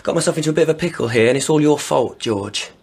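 An actor playing a young army deserter with a gun, sarcastic, bitter and volatile, in a radio drama. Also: male voice, a little high, expressive, fast, gravelly, husky, full character voice. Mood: agitated, bitter. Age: young adult. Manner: sarcastic, taunting.

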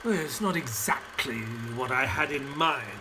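A man plays a genial detective's sidekick and narrator in an audio drama. He tells the story earnestly with very lively intonation. Clear ambient noise sits behind the voice. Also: medium pitch, moderate pace, natural voice. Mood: inquisitive. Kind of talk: audio play narration and dialogue.

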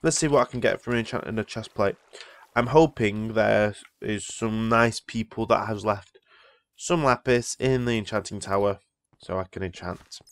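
A man's voice: on the low side, normal speed, no echo. A man who is ill, rambling casually through gameplay commentary and easily impressed by what he sees. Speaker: Minecraft let's player.

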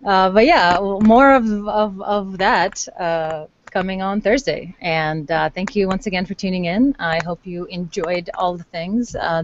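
A woman's voice: medium pitch, slight background noise. A woman hosting a casual podcast, rambling with many fillers and restarts over a video call. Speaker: podcast host. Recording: dull, dry lo-fi call audio.

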